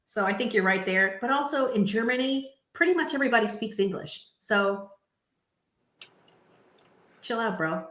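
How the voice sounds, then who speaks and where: A woman's voice: fairly high pitch, expressive, moderate pace, pauses often, a bit bright. A woman co-hosting a casual live-stream chat, giggly and teasing, repeating a catchphrase. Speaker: co-host. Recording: echoey room.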